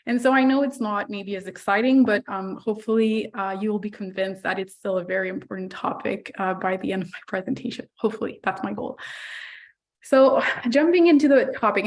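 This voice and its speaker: A woman neonatologist with a hoarse voice from laryngitis, giving a chatty online medical lecture over a video call, full of fillers and playful asides. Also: medium pitch.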